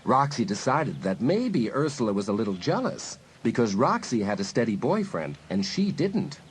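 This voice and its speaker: Expressive male story narrator, very animated pitch. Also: moderate pace, slight background noise. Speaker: audiobook narrator.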